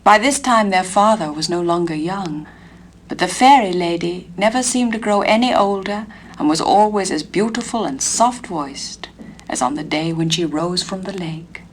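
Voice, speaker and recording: English actress, storybook narrator, gentle measured reading. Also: female voice, lowish voice. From England. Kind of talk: storybook reading on a spoken-word LP.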